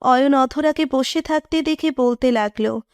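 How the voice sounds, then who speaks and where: High-pitched woman narrating a story. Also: measured pace, clean tone, no echo, studio quality. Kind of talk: scripted romantic story narration.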